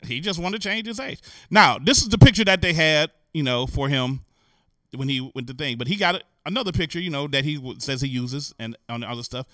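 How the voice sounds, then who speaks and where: A man hosting a show, giving animated, incredulous, joking and slangy commentary on the news. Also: a little high, a little fast, dry recording. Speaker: host. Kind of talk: comic commentary on news stories.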